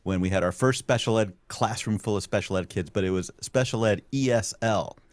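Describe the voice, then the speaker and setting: Low-voiced man from South Florida hosting. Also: brisk, no echo. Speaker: show host reading audience questions. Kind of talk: live talk show hosting.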